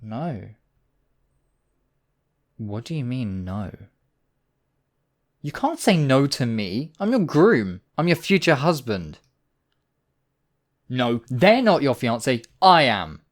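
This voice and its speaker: A man playing a smug, obsessive demon king in a theatrical audio roleplay, silky and possessive in his wooing. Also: medium pitch. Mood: insistent, possessive. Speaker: voice actor. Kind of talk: theatrical roleplay monologue, ASMR audio drama.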